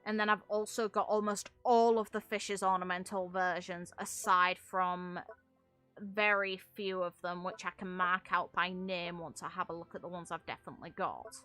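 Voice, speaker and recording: A woman's voice: fairly low pitch, steady tone, normal speed, sharp tone, faint music behind. A woman streaming a game in casual, rambling commentary, talking in a blunt, exasperated, sweary way. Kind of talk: casual ranting gaming commentary.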